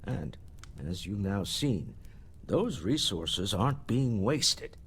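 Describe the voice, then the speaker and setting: An actor voicing a genteel, wealthy businessman in a video game, speaking in a measured, self-assured and eloquent way. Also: fairly low pitch, very animated, husky, full character voice. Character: genteel wealthy older businessman turned philanthropist. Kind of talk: scripted video game dialogue.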